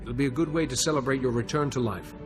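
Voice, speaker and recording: An actor playing a steady, wise Jedi in a video game, speaking in a calm, measured manner. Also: male voice, medium pitch, natural voice. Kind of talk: video game cutscene acting. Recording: long reverb, music underneath.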